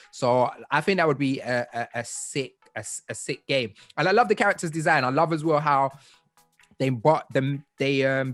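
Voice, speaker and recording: Casual slangy host. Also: male voice, medium pitch, no echo. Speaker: podcast host. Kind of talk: casual interview.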